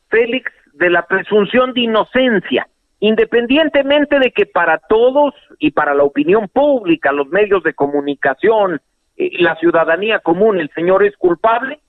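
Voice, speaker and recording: High-voiced man on a tinny phone line. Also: no echo. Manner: composed, explanatory, with occasional hesitations. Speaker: lawyer and legal analyst guest. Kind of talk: phone interview on radio. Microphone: telephone line.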